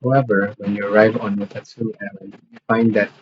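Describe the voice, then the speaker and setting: A man's voice: on the low side, level tone, quick pace, highs cut off, noisy background. A man streaming a video game, chatting casually and greeting viewers between scenes. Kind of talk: casual live stream chat.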